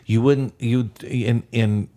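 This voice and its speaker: A man's voice: medium pitch, steady tone, slow pace, dark tone. A man whose first language is Spanish, a guest musician telling stories in a casual interview, often rambling and correcting himself. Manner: rambling, self-correcting storyteller.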